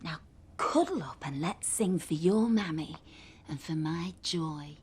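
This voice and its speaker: An actress playing a brisk but motherly middle-aged wartime village woman in a radio play. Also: sits low, a little mellow, slightly rough, exaggerated voice. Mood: tender, comforting. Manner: brisk then tender and comforting.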